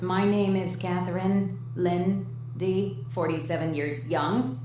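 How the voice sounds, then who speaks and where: A woman playing a weary, foul-mouthed, rambling diner manager in her forties, narrating her interrogation in a horror audio drama. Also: low-pitched. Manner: weary, sardonic, rambling, profane. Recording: roomy echo, clear background noise, hum.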